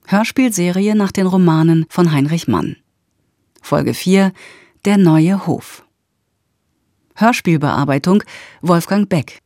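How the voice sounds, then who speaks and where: Mellow, dry-voiced female announcer. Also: fairly low pitch, slow pace, pauses often, no room sound. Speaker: radio announcer. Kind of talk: scripted credits announcement.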